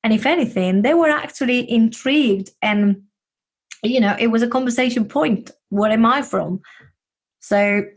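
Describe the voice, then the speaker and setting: A woman's voice: a little high, moderate pace. A woman with a Spanish accent, giving earnest, encouraging advice in a casual podcast chat, with frequent fillers. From Spain.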